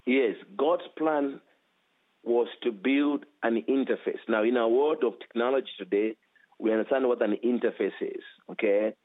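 A man with a heavy accent, a guest author teaching with emphasis and repeating key phrases. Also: moderate pace. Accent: heavy foreign accent. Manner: emphatic, repeats phrases, animated swings in pitch. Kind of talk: preaching teaching interview. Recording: tinny phone line.

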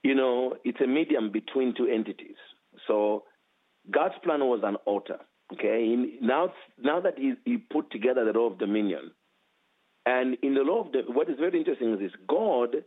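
A man with a heavy accent, a guest author teaching with emphasis and repeating key phrases. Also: moderate pace. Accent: heavy foreign accent. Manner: emphatic, repeats phrases, animated swings in pitch. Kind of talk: preaching teaching interview. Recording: tinny phone line.

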